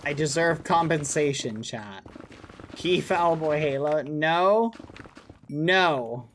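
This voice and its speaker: A man's voice: a little high, animated, takes it slowly, a little hiss or hum. A man live streaming, chatting casually with his viewers in a rambling, playful, mock-indignant way. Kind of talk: casual chat with stream viewers while gaming.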